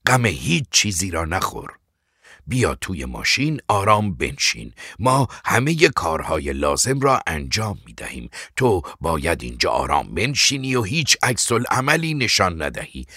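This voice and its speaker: Male narrator as officious lawman, raised pitch, loud, forceful, husky, emphatic repetition, audiobook drama. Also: very animated, no room sound, studio quality, exaggerated voice. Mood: firm, soothing. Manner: emphatic, repeats himself. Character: officious arresting agent, falsely soothing and triumphant. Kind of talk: dramatic audiobook character acting.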